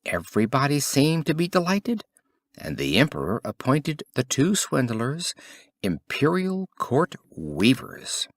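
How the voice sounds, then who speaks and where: A man reading a scripted fairy tale as an audiobook narrator, in an expressive, theatrical storytelling manner. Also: mid-range voice, no room sound.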